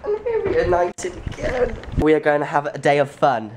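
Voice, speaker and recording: A young man vlogging his day out in a city, chatty and excitable, filmed on a handheld camera at arm's length. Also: fairly high pitch, normal speed, a little hiss or hum. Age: young adult. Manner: chatty, excitable, laughs often. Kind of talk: casual travel vlog. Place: city streets, subway and apartment.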